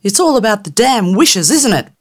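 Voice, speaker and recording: A woman's voice: medium pitch, sing-song, moderate pace, pitched above the performer's own voice. A woman voicing a tiny rude immortal fairy, crude and impatient. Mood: cranky, cheeky.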